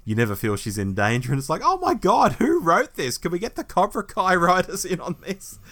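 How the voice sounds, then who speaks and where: A man's voice: high voice, huge pitch swings, fairly quick, fairly bright tone. A man co-hosting a fan podcast, talking casually with opinionated, emphatic phrasing. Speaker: podcast co-host.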